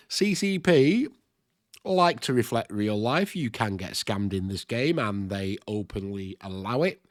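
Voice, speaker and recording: A man with a cold, his voice nasal and stuffed-up. He talks a casual game tutorial in a chatty, plain-spoken way at a middle pitch, thinking aloud as he goes. The recording is dry and close to the mic. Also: no room sound. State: congested with a cold.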